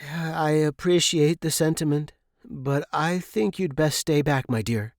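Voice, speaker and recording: A man voicing an earnest, agitated young Victorian gentleman scholar with a proper, emphatic manner, in an audiobook story. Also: a little high, measured pace, dry recording, clean studio sound, voice pushed up. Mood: troubled, earnest.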